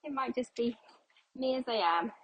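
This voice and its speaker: A woman's voice: high-pitched, little pitch movement, moderate pace, husky, poor audio. A middle-aged woman, chatting casually while mopping her kitchen, a little out of breath and slightly congested as she loses her voice. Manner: chatty, self-deprecating, thinks aloud. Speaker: cleaning vlogger. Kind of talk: casual chat while cleaning.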